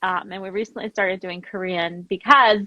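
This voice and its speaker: A woman's voice: medium pitch, steady tone, normal speed, bright, forceful tone, dry recording, lo-fi sound. A woman in her thirties from Los Angeles who founded a family language program, chatting over a video call and laughing often. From Los Angeles, USA. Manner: bubbly, laughs often, many "like" fillers.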